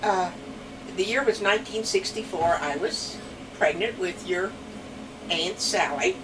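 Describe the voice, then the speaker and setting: A woman's voice: mid-range voice, measured pace. A woman in her seventies with a Pennsylvania Dutch accent, chatting and rambling through family stories as a grandmother being interviewed at home. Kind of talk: casual family storytelling. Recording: distant mic with clear background noise.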